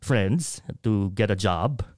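A man's voice: lowish voice, slow pace, darkish tone, dry recording, studio quality. A man from the Philippines with a Filipino accent, guest in a casual interview, telling a story in Tagalog mixed with English and acting out quoted voices. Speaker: guest, media company founder.

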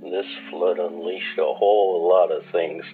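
Deep, slow, haunted war veteran. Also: male voice, steady tone, slightly rough, phone-quality sound, heavily acted. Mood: haunted, reflective. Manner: slow, reflective, confessional. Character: weary, haunted, hard-drinking male war veteran. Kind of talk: radio play monologue. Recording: thin radio-like sound with music.

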